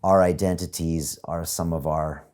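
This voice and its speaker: A man with a deep, low-pitched voice hosts an interview show. He talks conversationally, reflecting ideas back and paraphrasing them in casual words as he leads into questions. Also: steady tone, normal speed, darkish tone.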